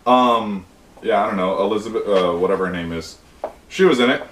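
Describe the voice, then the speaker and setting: A man's voice: on the low side, fairly quick, a bit bright, a little hiss or hum. A man casually chatting about a movie, asking questions and swearing freely. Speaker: host of movie review channel. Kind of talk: casual profane movie chat. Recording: echoey room, far from the mic.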